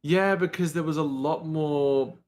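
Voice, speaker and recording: A man's voice: a little high, steady tone, measured pace, darkish tone, a little hiss or hum. Hesitant man chatting casually. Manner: hesitant, thinking aloud. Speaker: audio drama voice actor on a panel. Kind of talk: casual chat.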